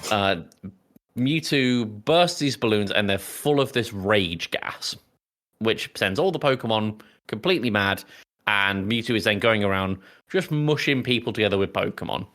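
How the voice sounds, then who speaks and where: A man hosts a casual film podcast with a forceful, bright voice that sits fairly low. He talks in a jokey way, like a friend recapping things for the group. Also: expressive, moderate pace. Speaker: podcast host.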